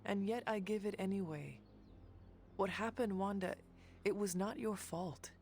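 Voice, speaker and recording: A woman playing a stoic but compassionate warrior hero in a video game, speaking in a measured, formal way. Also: mid-range voice, creaky, a little mellow, slight background noise. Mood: gentle, consoling. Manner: measured, formal, few contractions.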